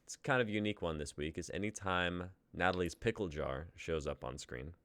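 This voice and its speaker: Bright-voiced young man with a low pitch. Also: fairly quick, clean tone, no room sound. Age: young adult. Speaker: host of a group streaming show. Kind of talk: casual livestream intro banter.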